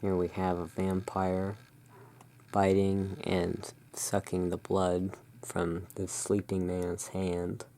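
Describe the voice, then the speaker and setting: Slow, low, creaky hushed ASMR voice. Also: completely flat delivery. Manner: hushed, soothing, unhurried. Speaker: host playing a gothic curiosity shop owner. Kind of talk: ASMR roleplay, showing tarot cards.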